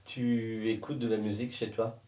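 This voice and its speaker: A man's voice: on the low side, little pitch movement, moderate pace, dark tone. A man interviewing a guest in a casual style with short questions, heard at some distance from the microphone. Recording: voice distant from the mic.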